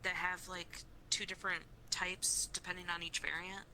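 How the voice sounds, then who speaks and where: Very bright, sharp, lowish-voiced woman. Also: flat delivery, moderate pace, slight background noise, poor audio. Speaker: role-player in a group meet-up. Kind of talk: casual in-character improvised chat. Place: online voice chat in a virtual world.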